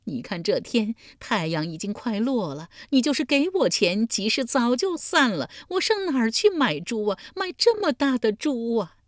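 Brief: woman performing a desperate old peasant woman, shrill and loud in her storybook lament, pitch swinging wide. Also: big pitch swings, measured pace, dry recording, studio quality. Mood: wailing. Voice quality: high wailing crying voice. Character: wailing distraught old village woman.

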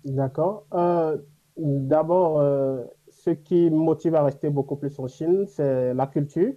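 A man from Africa whose first language is a Gbe language, talking slowly and thoughtfully with frequent fillers. His voice is very dark and mellow and a little higher than usual. The recording is dull and lo-fi. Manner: hesitant, many fillers, reflective. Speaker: guest. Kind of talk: casual interview answers.

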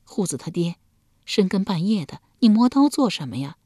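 Timid young wife, high pleading voice, voiced by a woman storyteller. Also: big pitch swings, normal speed, warm tone, breathy edge, no room sound, studio quality. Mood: anxious. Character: timid anxious young ancient Chinese wife.

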